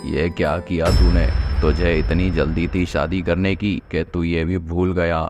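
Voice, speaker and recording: A man playing an aging, stern and worried father in a dramatic, deliberate Hindi cartoon story delivery. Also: low voice, little pitch movement, music in the background. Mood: angry, scolding. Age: older.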